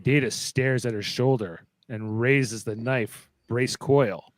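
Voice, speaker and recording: A man's voice: mid-range voice, takes it slowly, no room sound. A man narrating stage directions in a playful, dramatic way for a live fan script reading.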